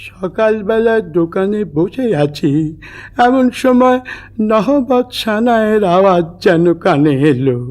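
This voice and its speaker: A man's voice: high-pitched, lively intonation, darkish tone. A man playing a talkative, dreamy old cobbler in a theatrical comic cartoon. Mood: dreamy, rambling. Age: older. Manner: rambling, drawn-out, dreamy storytelling. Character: old, talkative, opium-eating cobbler with failing eyes.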